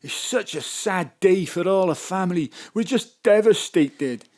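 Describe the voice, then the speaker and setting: Maudlin, slow-talking Welsh mourner. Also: male voice, high-pitched, animated, darkish tone. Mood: maudlin, grieving. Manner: mournful, plain-spoken. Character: John, maudlin Welsh pharmacy clerk mourning his brother. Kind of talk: comic audio drama acting.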